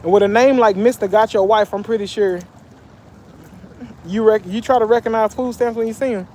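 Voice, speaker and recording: A man's voice: high-pitched, steady tone, brisk, a little mellow, slight background noise. A young man from Texas chatting casually on a phone livestream by a pool, reading out comments in a loose, slangy way. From Texas, USA. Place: outdoors by a pool.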